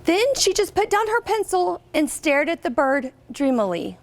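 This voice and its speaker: A high-voiced American woman reads a picture book aloud to children. Her intonation is very lively, and she often turns to her young listeners with questions. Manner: expressive, pausing to ask listeners questions. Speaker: guest reader, company representative.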